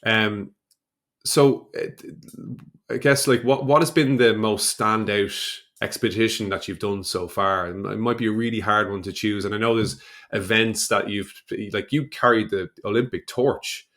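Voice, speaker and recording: Man, Irish accent, fairly low voice, podcast interviewer, casual, hesitant phrasing. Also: steady tone, unhurried, a bit bright. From Ireland.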